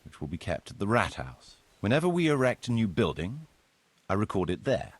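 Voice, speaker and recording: An actor playing a courteous, businesslike medieval village steward in a video game, explaining duties in a measured, formal way with a slightly husky voice. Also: male voice, fairly low pitch, big pitch swings, no echo. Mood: explanatory.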